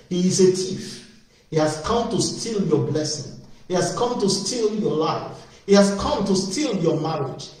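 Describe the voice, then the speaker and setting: A man's voice: on the high side, a little mellow. A man preaching an evangelistic sermon, calling out with fervent, repetitive appeals that build in emphasis. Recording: very echoey, distant from the mic.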